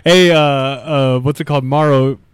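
A man's voice: medium pitch, steady tone, moderate pace, clear, resonant tone, no echo, clean studio sound. A man in crude casual banter, teasing and swearing freely.

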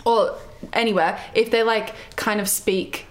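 A woman's voice: medium pitch, steady tone, measured pace. A young woman chatting casually, agreeing often and laughing easily. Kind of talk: casual conversation.

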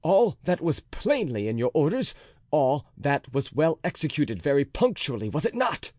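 A man's voice: fairly high pitch, sing-song, brisk, a little mellow, no room sound, pitched above the performer's own voice. A man voicing a fiery, proud musketeer captain who threatens with raised, intense force. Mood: furious. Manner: raised, intense, menacing delivery.

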